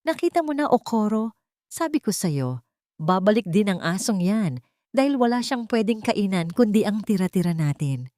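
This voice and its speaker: Lady doing mean scheming older mom in an audio drama, bossy and sneery, big pitch swings. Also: very animated, moderate pace, warm tone, no room sound, studio quality, lightly acted. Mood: mocking, scornful. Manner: sneering, commanding, sly. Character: cruel, scheming older mother, domineering and mocking.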